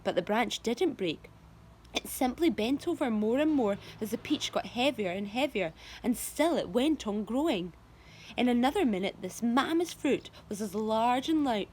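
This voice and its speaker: A woman teacher reading a children's novel aloud to her class, expressive storytelling. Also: on the high side. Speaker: primary school teacher.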